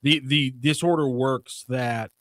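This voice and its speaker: A man's voice: medium pitch, steady tone, measured pace, a bit bright, dry recording. Radio guy teasing his cohosts, trips over his words a lot, mid voice, dry close mic. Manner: teasing, stumbles and restarts when arguing. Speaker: sports radio co-host. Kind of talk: casual banter.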